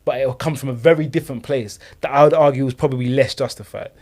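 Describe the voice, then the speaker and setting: A man co-hosting a discussion, reasoning aloud in rapid bursts with frequent restarts. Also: medium pitch, steady tone, a little mellow. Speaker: podcast co-host.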